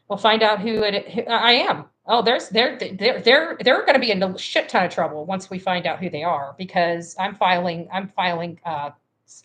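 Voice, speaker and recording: A woman's voice: medium pitch, fairly bright tone. A woman livestreaming a casual monologue to her viewers, blunt and combative, repeating herself for emphasis. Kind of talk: casual livestream monologue, reading and answering chat comments.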